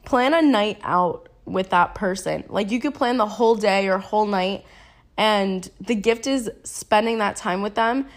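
A woman's voice: medium pitch, measured pace. Sniffly young woman chatting on her podcast. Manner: rambling, chatty, slangy, laughs at herself. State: sick, sniffling. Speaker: podcast host. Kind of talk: casual solo podcast chat.

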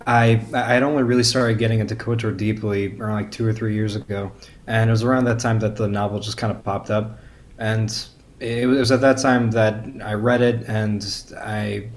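A young man with a slightly low voice speaks as a podcast guest. He chats casually and gives his opinions candidly. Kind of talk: casual chat.

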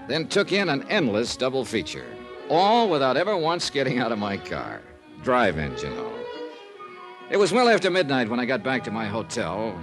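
An actor playing a wry, breezy male insurance investigator, narrating his case in clipped, conversational 1950s radio drama style. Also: mid-range voice. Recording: vintage radio recording with music.

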